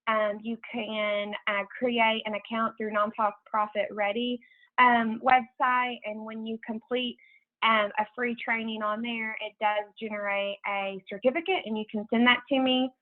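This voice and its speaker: An American woman giving informal announcements as a program coordinator on a conference call, in a casual, halting way over a tinny phone line. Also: a little high, little pitch movement. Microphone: telephone conference call.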